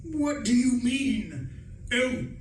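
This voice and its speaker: A man acting a pompous, indignant older gentleman in a comic radio play, speaking formally and emphatically. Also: extremely high pitch, very animated, drawn-out words, pitched above the performer's own voice, heavily acted. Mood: outraged. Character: pompous, outraged older gentleman uncle, stern and imperious. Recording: roomy, distant, noisy live stage recording.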